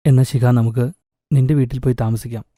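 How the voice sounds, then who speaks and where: A man voicing a gentle, earnest young husband in a cartoon moral story, with a soft, sincere manner. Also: mid-range voice, fairly even intonation, a little fast, no room sound, studio quality, natural voice. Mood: concerned.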